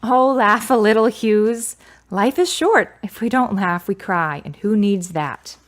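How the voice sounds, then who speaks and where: A woman's voice: medium pitch, animated, a little slow, natural voice. An actress as a frank, self-assured woman. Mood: wry, teasing. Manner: articulate, wordy, wry. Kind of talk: radio drama dialogue.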